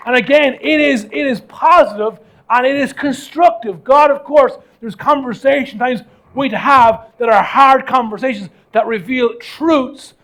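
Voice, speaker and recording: A man's voice: very high-pitched, a bit bright. A man preaching a conversational, motivational sermon as a pastor, repeating phrases for emphasis.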